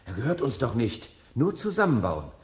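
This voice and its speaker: A man's voice: medium pitch, wildly swooping pitch, moderate pace, a crowd in the background. An actor playing a mild, conscientious hobbyist father in a 1970s German radio play. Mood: astonished, firm. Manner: mild, placating. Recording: vintage radio recording, roomy, faint noise.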